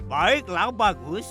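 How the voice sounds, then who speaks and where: A proud showy magician. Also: female voice, on the low side, exaggerated voice. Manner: slow, theatrical, boastful. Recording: cartoon dub with loud music behind. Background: music.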